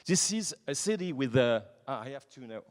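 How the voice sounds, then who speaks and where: A young French-accented man speaks in English at a forum, pausing often and reworking his sentences as he hunts for words. His mid-pitched voice rings out with a long reverb from a large hall. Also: animated. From France. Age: 20s. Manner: halting, frequent restarts, searching for English words. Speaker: conference speaker, night-life association president. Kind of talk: informal conference talk to an audience.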